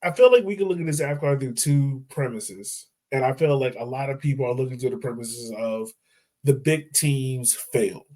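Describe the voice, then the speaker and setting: A man hosting a podcast, chatting about football in a relaxed, slangy way, full of "like". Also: medium pitch, normal speed. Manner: relaxed, slangy, repeats phrases with "like". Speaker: podcast host. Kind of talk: casual sports chat.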